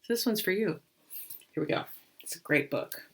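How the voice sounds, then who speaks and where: A woman reading a rhyming picture book aloud as storyteller and host, with expressive, theatrical delivery. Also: on the low side, normal speed, creaky, noisy background.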